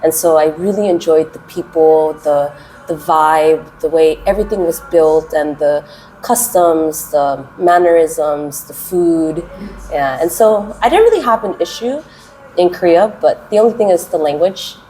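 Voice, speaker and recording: Loud, expressive woman. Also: low voice, unhurried, a little hiss or hum, low-quality recording. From United States. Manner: loud, expressive, laughs and gasps often. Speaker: interviewee, kindergarten English teacher. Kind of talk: casual interview answers.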